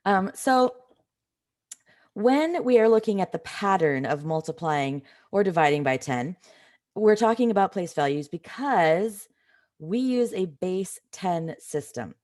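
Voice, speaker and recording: A woman with a slightly raspy voice from a cold, teaching an informal live online math lesson in a conversational way, stressing key points. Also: medium pitch, expressive, a little slow, a little mellow. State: has a cold.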